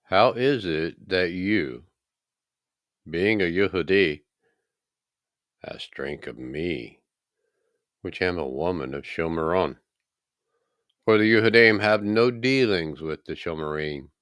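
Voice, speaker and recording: A man's voice: low voice, slow pace, pauses often, a bit bright, dry recording, clean studio sound. A man voicing a respectful, questioning village woman from ancient scripture, with earnest emphasis.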